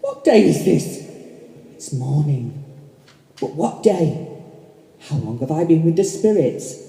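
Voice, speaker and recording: Casting: performer acting an exuberant, pleading old miser for a radio play, unhurried and mellow, with emphatic repeats. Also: medium pitch, lively intonation. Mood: giddy, overjoyed. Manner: theatrical, emphatic, repeats phrases. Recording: echoey hall, long reverb.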